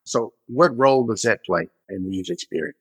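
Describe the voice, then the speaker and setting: A man's voice: medium pitch, animated, a little fast, gravelly, fairly bright tone, husky, no room sound. A man hosting a business podcast, reading an upbeat scripted intro, then asking interview questions. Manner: upbeat announcer delivery in the intro. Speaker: podcast host.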